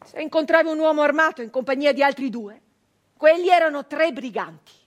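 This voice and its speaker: An actress playing a fierce, bitter nineteenth-century female brigand in a dramatic theatrical monologue, intense and deliberate. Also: high-pitched, lo-fi sound, lightly acted. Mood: indignant, defiant. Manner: intense, deliberate, with long pauses, swinging from hushed to outcry. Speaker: stage actress.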